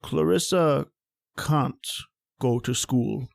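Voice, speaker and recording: A man playing a secretive, anxious old father in his eighties in a radio drama, speaking haltingly in short pleas. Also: medium pitch, gravelly, no echo, studio quality. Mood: pleading. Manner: halting, hesitant speech in short, simple pleas.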